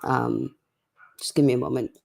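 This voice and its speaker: A livestream host chatting casually and in a laid-back way to viewers late at night, with a voice going hoarse from a cough. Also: medium pitch, fairly even intonation, moderate pace, a little mellow. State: sick with a cough, voice getting hoarse.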